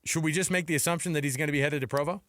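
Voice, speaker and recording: A man's voice: fairly high pitch, fairly even intonation, very fast, fairly bright tone, dry recording. American man, dry close sports radio banter. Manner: conversational, opinionated, slangy, throws out questions. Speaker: sports talk radio co-host.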